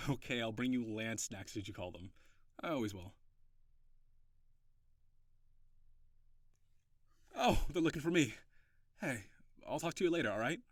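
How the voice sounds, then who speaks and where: Man acting a young male pirate of noble birth, audio drama, teasing, easily flustered, fond, expressive mid-range voice, dry recording. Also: medium pitch, dry recording, close to an everyday voice. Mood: conceding, fond. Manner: teasing, flustered protests with hesitations. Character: young runaway nobleman turned pirate, playful, defensive, fond. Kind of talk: intimate audio roleplay acting, one side of a dialogue.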